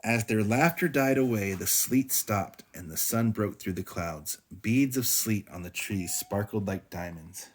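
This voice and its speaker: A man reading a storybook aloud to a child as a father does at bedtime, in an animated storytelling style. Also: fairly low pitch, measured pace, few pauses, husky.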